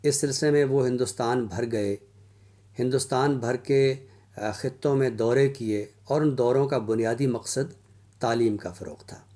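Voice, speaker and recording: A man giving a scholarly literary lecture in a formal, deliberate manner with pauses. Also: mid-range voice, warm tone.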